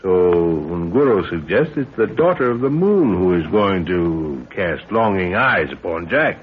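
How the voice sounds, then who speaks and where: An actor playing a steady, authoritative middle-aged expedition leader and father in a 1930s radio adventure, speaking formally. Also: low-pitched, measured pace. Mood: calm, leading. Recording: tinny, faintly hissy old radio recording.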